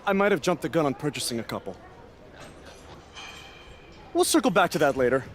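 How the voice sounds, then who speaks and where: Goofy high-pitched wrestling sidekick. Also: male voice, very animated, a little fast. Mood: sheepish. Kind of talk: video game cutscene acting. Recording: clear background noise.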